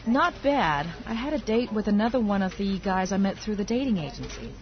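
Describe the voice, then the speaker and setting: A woman's voice: medium pitch, fairly quick, natural voice. A woman acting out a friendly everyday chat in a scripted listening dialogue. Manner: conversational, expressive. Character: woman talking over her dating life. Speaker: dialogue actor. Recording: dull lo-fi audio.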